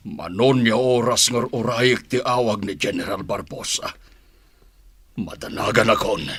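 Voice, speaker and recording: A man's voice: fairly low pitch, measured pace, a bit bright, husky, no echo. An actor with a Filipino accent playing an anxious, scheming wealthy man in a radio drama. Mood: worried.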